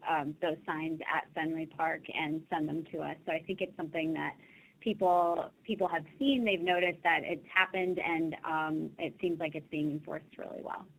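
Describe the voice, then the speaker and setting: A woman's voice: sits low, fairly even intonation, normal speed, gravelly, a bit bright, rough recording. A woman on a webinar panel, telling a friendly anecdote in a casual way.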